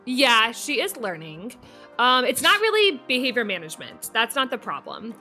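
A woman's voice: fairly high pitch, big pitch swings, normal speed, pushed and bright, faint music behind. A woman in a casual improvised role-play as a behavior analyst, phrasing things hesitantly.

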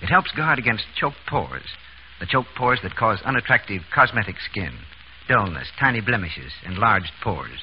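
Casting: male 1930s radio pitchman, slightly low voice, lively intonation, scripted ad read, hissy old sound. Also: normal speed. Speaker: commercial announcer. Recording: dull, hissy vintage 1930s radio recording.

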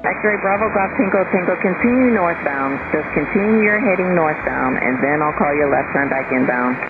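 Male air traffic controller, firm procedural delivery with gravelly creak, heard through a tinny radio channel. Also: on the high side, moderate pace. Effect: aviation radio. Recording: tinny narrowband radio transmission, music behind. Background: background music.

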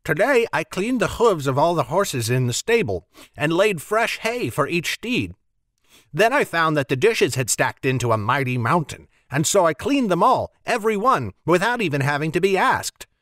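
A man doing an eager boastful serving boy, pitched up. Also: sing-song, moderate pace, no echo, studio quality. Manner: bright, self-satisfied chatter. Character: boastful eager young male servant boy.

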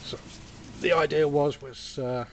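A man casually explaining a workshop build in a relaxed, plain-spoken way, full of "uh" and "basically", filmed up close on a camera mic at a cluttered bench. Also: on the high side, a little slow, slight background noise. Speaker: hobby engineer demonstrating a build.

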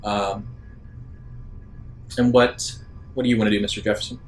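Man narrating a game over rumbly noise. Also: level tone, moderate pace. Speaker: game master. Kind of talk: improvised narration of a tabletop game.

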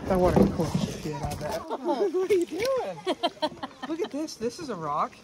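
Man, laid-back, casual swearing, high animated voice, loud background noise. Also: unhurried. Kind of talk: casual chat. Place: cave and woods outdoors.

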